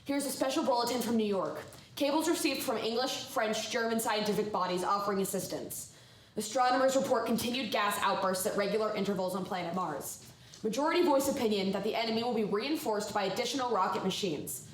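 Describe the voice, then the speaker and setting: A woman's voice: mid-range voice, fairly quick, far from the mic, lightly acted. Slightly off-mic in a reverberant room with faint noise, an actress plays a female radio newsreader of the 1930s. Her delivery is businesslike and clipped, bulletin after bulletin. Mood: urgent, businesslike. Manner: clipped telegraphic reading. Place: live theatre stage.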